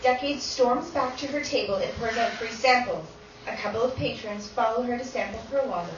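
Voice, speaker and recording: A wry, matter-of-fact stage narrator played by a woman with a fairly high voice, reading out the action. The recording is distant and echoey, with clear background noise. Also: brisk, lightly acted. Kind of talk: reading stage directions aloud. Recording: echoey theatre from far off, dull, noisy.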